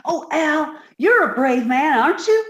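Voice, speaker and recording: A woman's voice: sits high, very animated, measured pace, roomy sound, dull audio. A woman playing a sweet, naive, scatterbrained young secretary in an old-time radio comedy, earnest and easily distracted. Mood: eager, admiring.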